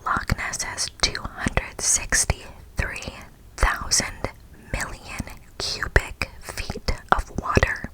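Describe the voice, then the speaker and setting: An American woman whispers her way through an ASMR reading of a prepared speech. She takes it very slowly and deliberately. Now and then she turns to the listener with a playful aside, keeping the same hushed delivery. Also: low-quality recording. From United States. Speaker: ASMR host.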